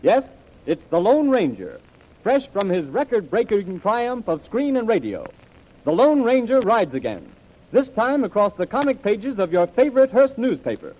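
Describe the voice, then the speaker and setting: A man reading a 1930s radio promotional announcement in a rousing, theatrical manner. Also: sits high. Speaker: advertising announcer. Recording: muffled vintage radio recording.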